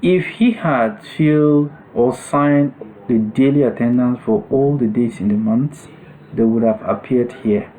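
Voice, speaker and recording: A man narrating a step-by-step software tutorial as an instructor, explaining things in short, separated phrases. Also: mid-range voice, a little slow, poor audio.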